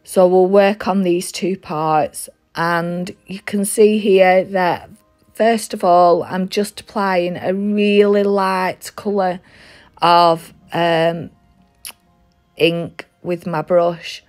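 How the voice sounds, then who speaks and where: A woman with a head cold and a slightly croaky voice, walking through a craft demonstration step by step with frequent ums. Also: lowish voice, fairly even intonation, drawn-out words, a little mellow, soft background music. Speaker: craft tutorial host. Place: craft desk seen from above.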